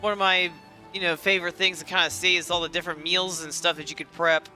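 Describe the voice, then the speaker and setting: A man's voice: high-pitched, flat delivery, quick pace, sharp tone. A man casually commentating on a video game he is playing, in a self-deprecating way. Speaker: let's-player. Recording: game music behind the voice.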